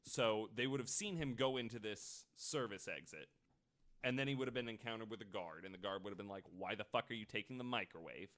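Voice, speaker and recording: Guy with a lowish voice telling a heist story on a podcast, chatty and loose, curses a bit. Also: a little fast, fairly bright tone. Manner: swears casually, conversational. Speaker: podcast host. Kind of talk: casual storytelling of true crime.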